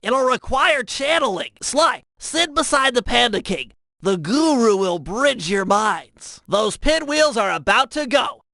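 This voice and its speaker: An extremely high, creaky young turtle brainiac from a cartoon game. He is nasal and precise, an earnest planner who explains everything in wordy technical terms. The sound is very sharp and forceful. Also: sing-song, unhurried, no echo, exaggerated voice. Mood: matter-of-fact briefing. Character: nerdy, brainy young male turtle tech genius, earnest, excitable. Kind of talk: cartoon video game voice lines.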